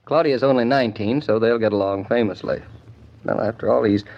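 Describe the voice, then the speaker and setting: An actor playing an easygoing, teasing young husband in a 1940s radio soap opera, with wry, bantering delivery. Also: male voice, lowish voice, a little fast, close to an everyday voice. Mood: friendly, agreeable. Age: young adult. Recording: dull 1940s radio broadcast recording.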